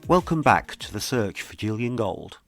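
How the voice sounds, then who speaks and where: A man with a fairly low voice narrates a scripted true-crime mystery as its host. He reads prepared lines and poses questions. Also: big pitch swings, moderate pace, soft background music.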